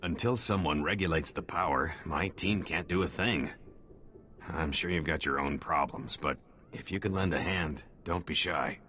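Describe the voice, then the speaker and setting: An actor playing a gruff, commanding military engineering chief in a video game, blunt and brisk. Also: male voice, extremely low pitch, bright, forceful tone, a little hiss or hum. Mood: businesslike.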